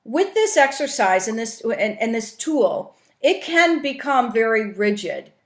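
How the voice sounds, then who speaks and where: Female trainer, higher voice, informal explanatory talk, checks in often, roomy conference-call sound. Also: big pitch swings, unhurried. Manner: explanatory, often checks in with "right?" and "you know". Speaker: trainer, facilitator teaching a coaching tool.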